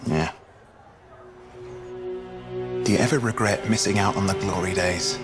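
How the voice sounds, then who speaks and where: An actor playing a restless, eager young sidekick in a sci-fi video game, chatty and fussy. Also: male voice, low-pitched, fast, loud music behind the voice, close to an everyday voice. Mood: wistful. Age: young adult. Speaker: cipher who assists a pilot.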